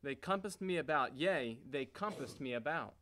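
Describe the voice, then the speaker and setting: Guy doing solemn bible recitation, bright forceful voice, faint noise in the recording. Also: medium pitch, lively intonation, moderate pace. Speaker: readers theater performer. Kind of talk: solemn scripted scripture recitation. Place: church stage before congregation. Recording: noisy church hall recording.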